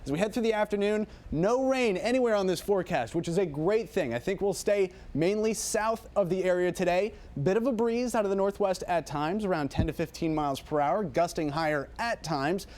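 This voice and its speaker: A man delivers the morning weather forecast on local TV, relaxed and chatty, adding casual asides as he goes. His voice sits a little higher than most men's. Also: brisk, few pauses. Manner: relaxed, chatty, thinking aloud. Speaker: TV meteorologist.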